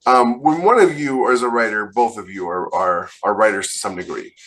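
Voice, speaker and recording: A man's voice: on the low side, normal speed, a bit bright, rough recording. A man co-hosting a podcast in casual banter, with a wry, joking way of talking. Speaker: podcast co-host, author.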